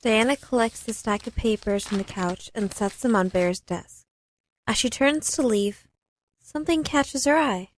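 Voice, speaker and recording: A woman's voice: a little high, unhurried, slight background noise, natural voice, low-quality recording. A woman narrating a scripted radio play, reading stage directions in a matter-of-fact way.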